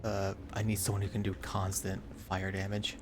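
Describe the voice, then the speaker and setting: A man's voice: sits low, monotone, moderate pace, background noise. A man chatting casually and muttering to himself as he streams a video game.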